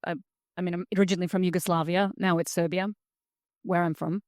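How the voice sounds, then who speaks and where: A woman who grew up in Australia talks casually and haltingly on a panel. Her voice is somewhat low and smooth, and the sound is dry and close. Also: little pitch movement, no echo. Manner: hesitant, with many fillers. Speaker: panel speaker. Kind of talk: casual spoken answer. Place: conference hall.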